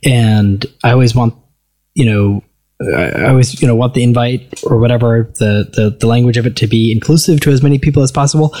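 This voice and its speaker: An American man chatting at a table with friends, pausing and restarting as he thinks. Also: medium pitch, warm tone, dry recording. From United States. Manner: hesitant, restarts often. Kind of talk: casual conversation. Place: seated at a table with others.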